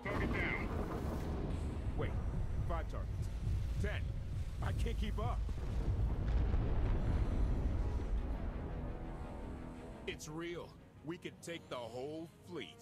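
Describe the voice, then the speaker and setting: An actor playing an excitable, urgent male starship pilot in a sci-fi game. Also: a little high, slow, pauses often. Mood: amazed. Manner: animated, urgent. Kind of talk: dramatic sci-fi game acting. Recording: loud game music and effects underneath.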